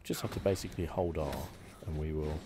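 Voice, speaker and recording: A man hosting an ASMR gaming video, giving hushed, relaxed, conversational commentary. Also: very deep voice, brisk. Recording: game sounds noisy behind voice. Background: video game audio.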